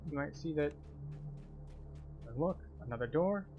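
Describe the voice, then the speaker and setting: A man's voice: on the high side, expressive, a little slow, highs cut off, soft background music. A man giving live gameplay commentary as a tour guide, explaining things step by step. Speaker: game streamer and tour guide.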